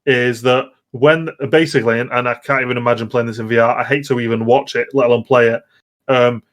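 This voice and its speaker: A man co-hosting a podcast, telling anecdotes about games in a casual, slightly halting way. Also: mid-range voice, fairly even intonation, a bit bright. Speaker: podcast co-host.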